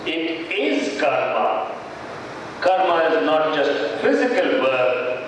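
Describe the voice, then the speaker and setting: A man voicing a wise, gentle grandfather who teaches patiently in a scripted puppet show. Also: on the high side, very animated, a little hiss or hum. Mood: patiently instructive. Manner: measured, didactic. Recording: very echoey hall from far, music behind.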